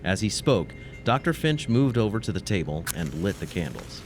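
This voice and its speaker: Deep-voiced male story narrator. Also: big pitch swings, normal speed, over music.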